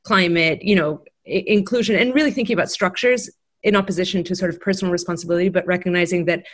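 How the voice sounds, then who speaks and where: A woman's voice: fairly low pitch, fast. A woman from Greece speaking in a political discussion, reflective and careful, qualifying her points as she goes. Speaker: progressive panelist, former congressional candidate.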